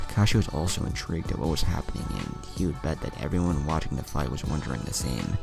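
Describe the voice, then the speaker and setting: Very deep, gravelly male storyteller. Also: very animated, quick pace. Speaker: narrator. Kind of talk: scripted fan fiction narration with acted dialogue. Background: music.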